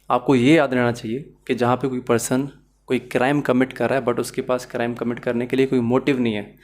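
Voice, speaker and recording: A man with a Pakistani accent lecturing as a law teacher, mixing English into his speech and explaining points step by step in a didactic way. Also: medium pitch. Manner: code-switches between Urdu-Hindi and English.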